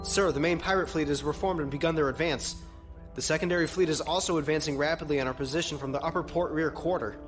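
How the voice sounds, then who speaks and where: A man's voice: on the high side, quick pace, over music, close to an everyday voice. A man playing an anxious, dutiful starship lieutenant, giving urgent military reports in a space opera audio drama. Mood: tense, dutiful. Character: anxious male bridge lieutenant, dutiful subordinate.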